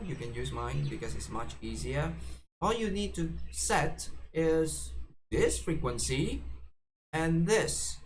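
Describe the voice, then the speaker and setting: Halting man in a noisy recording. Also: medium pitch. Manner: halting, hesitant delivery with many fillers and self-corrections. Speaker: tutorial presenter. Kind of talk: casual unscripted how-to demonstration.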